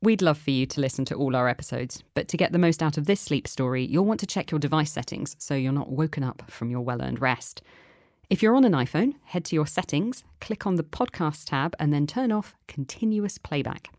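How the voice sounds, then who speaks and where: A woman's voice: sits low, lively intonation, a little fast, darkish tone, no echo, clean studio sound. A woman hosting a podcast, reading a scripted introduction. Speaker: podcast host.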